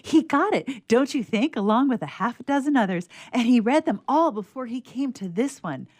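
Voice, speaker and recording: A woman playing an eager, chatty, excitable schoolgirl in a radio theatre piece. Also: fairly high pitch, moderate pace. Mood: eager, confiding. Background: harp underscoring.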